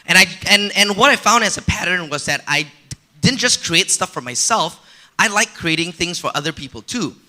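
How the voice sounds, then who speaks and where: A man from Malaysia giving a conference talk on stage in a relaxed, personal way with frequent fillers. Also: high-pitched, a little fast. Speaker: conference speaker. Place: conference stage before an audience.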